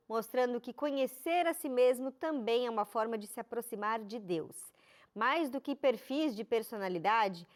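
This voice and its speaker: Measured woman TV host, slightly high voice. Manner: clear, measured presenter delivery. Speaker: TV show host. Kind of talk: scripted introduction and interview questions.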